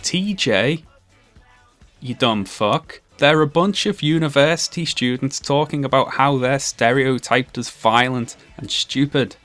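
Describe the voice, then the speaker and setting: A young man with a monotone, dryly sarcastic delivery, narrating a commentary video essay. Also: medium pitch, unhurried, soft background music.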